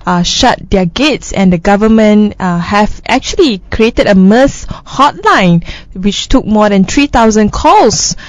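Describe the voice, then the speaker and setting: A woman co-hosting a casual news discussion show, chatty and quick to laugh between items. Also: mid-range voice, animated, no room sound, muffled recording.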